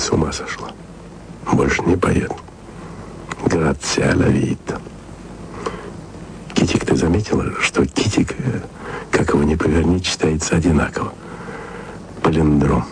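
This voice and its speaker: An actor playing a wry, lovesick male radio journalist who murmurs confiding messages onto tape, often close to a whisper. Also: full character voice. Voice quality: low gravelly murmur, often near a whisper. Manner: intimate, confiding, self-ironic. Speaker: radio man taping messages to a colleague. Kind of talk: radio drama acting. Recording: noisy tape recording.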